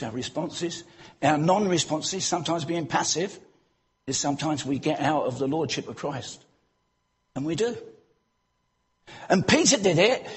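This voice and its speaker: A man preaching a sermon in a church, animated, breaking into loud exclamations and asking the congregation rhetorical questions. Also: sits high, slightly rough.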